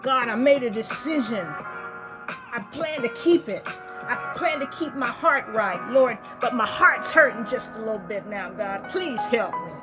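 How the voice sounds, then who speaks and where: A woman playing an earnest, excitable young believer who talks aloud to God, swinging between joy and pleading. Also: a little high, unhurried. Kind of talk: church drama monologue. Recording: music playing under the voice. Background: music.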